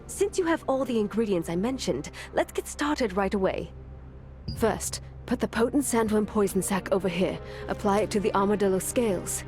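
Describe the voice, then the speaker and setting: A woman playing a composed, knowledgeable scholar-mage in a video game, measured and instructive. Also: medium pitch, expressive, darkish tone, close to an everyday voice. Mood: businesslike. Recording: clear music behind the voice.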